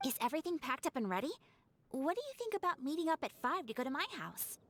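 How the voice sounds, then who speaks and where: A woman's voice: sits high, big pitch swings, quick pace, a bit bright, no echo, heavily acted. A woman playing a fussy, easily exasperated townswoman in a video game. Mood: expectant. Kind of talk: English video game voice acting. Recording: music behind the voice.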